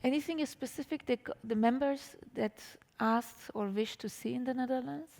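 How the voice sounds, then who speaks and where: Female panel moderator, higher-pitched with some creak, recorded in a reverberant hall. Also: moderate pace, darkish tone. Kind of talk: live panel discussion moderation. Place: indoor panel event in a hall.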